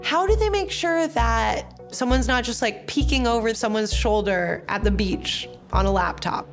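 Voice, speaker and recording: A woman journalist explaining events in a measured documentary interview. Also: a little high. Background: documentary score music.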